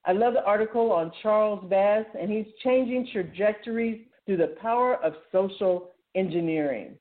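A thin, phone-like recording carries a woman's voice at a medium pitch. She hosts a show solo, chatting informally through announcements. Her manner is conversational and enthusiastic, like someone promoting things she likes. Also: moderate pace. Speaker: radio show host. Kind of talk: informal solo talk, announcing articles and events. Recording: thin, phone-like narrow-band sound.

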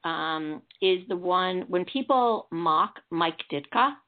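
Woman, host, from Chicago, chatty, digressive, lowish voice, phone-like sound. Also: lively intonation, slow pace. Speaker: show host. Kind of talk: casual chat on a call-in show.

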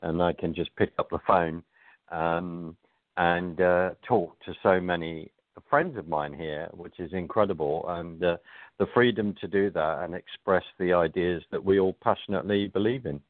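A man with a London accent talking as a guest on a radio show, courteous and halting, with many hesitations. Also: low-pitched, no echo. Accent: London English. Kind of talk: phone-in interview. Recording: tinny phone line.

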